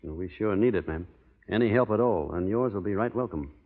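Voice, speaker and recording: An actor playing a plainspoken, courteous, reserved American cowboy in a 1930s radio drama. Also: male voice, lowish voice, fairly quick. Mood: earnest. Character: plainspoken, courteous young cowboy of the Old West. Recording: old muffled radio broadcast, long hall reverb.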